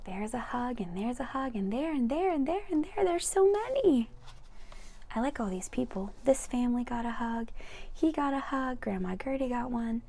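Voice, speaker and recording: A woman's voice: fairly high pitch, lively intonation, moderate pace, creaky, warm tone. A woman reading a children's picture book aloud expressively, with chatty asides to young listeners. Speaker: read-aloud storyteller for children.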